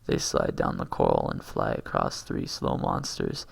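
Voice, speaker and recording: A husky-voiced man reading a film script aloud, stage directions and dialogue alike, stumbling and correcting himself and breaking off into asides. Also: extremely low pitch, steady tone, moderate pace. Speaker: amateur reader doing a long script read-aloud.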